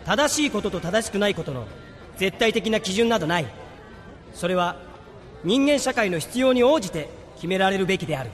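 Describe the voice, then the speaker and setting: A man's voice: sits high, expressive, fairly quick, faint music behind. A man acting a self-assured ancient Greek sophist orator in a radio drama. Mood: assertive.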